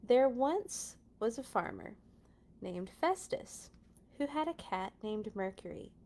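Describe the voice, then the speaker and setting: A woman's voice: mid-range voice, very animated, slow, vocal fry, warm tone. Woman hosting a casual children's storytime. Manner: chatty, informal asides to listeners. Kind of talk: casual read-aloud for children.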